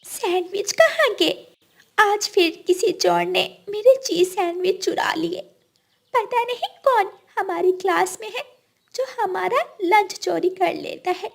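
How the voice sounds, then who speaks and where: A woman's voice: very high-pitched, unhurried, gravelly, voice pushed up, heavily acted. A woman voicing an anxious, plaintive little schoolgirl bird in a children's cartoon. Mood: upset. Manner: plaintive, complaining to grown-ups.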